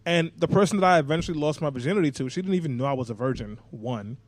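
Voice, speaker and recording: A man's voice: on the high side, racing, no echo. A young man from New York, co-hosting a podcast and telling candid personal stories in casual chat, swearing freely. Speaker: podcast co-host.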